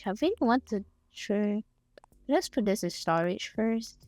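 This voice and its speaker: A woman's voice: medium pitch, animated, unhurried, darkish tone, no room sound. A young woman from Singapore with a mixed, partly Singaporean accent, rambling casually as a streamer while she plays, often laughing and pleading playfully. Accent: mixed, partly Singaporean English. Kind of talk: casual livestream chat while gaming.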